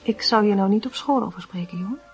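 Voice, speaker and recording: An actress playing a cautious mother who gently admonishes her son in a measured way, in a radio play. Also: mid-range voice, faint music behind, natural voice. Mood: gently admonishing.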